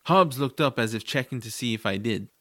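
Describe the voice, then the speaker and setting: A man's voice: mid-range voice, expressive, a little fast, a bit bright, dry recording, studio quality. A man reading scripted first-person narration for a family fantasy audiobook. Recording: music behind the voice. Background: music.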